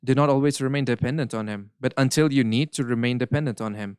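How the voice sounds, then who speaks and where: Smooth-voiced man interpreting. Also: medium pitch, a little mellow, dry recording, clean studio sound. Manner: measured, earnest, occasional self-corrections. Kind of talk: live interpretation of a spiritual Q&A.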